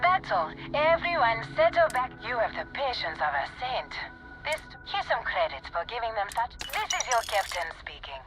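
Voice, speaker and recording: A chatty tour ship captain. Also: female voice, on the high side, very animated, normal speed. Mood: flustered. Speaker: game character. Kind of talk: game dialogue. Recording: game audio with music behind. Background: music.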